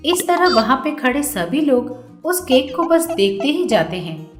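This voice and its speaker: A woman's voice: high-pitched, big pitch swings, a little slow, roomy sound, music in the background. A woman narrating a scripted children's moral story as the storyteller.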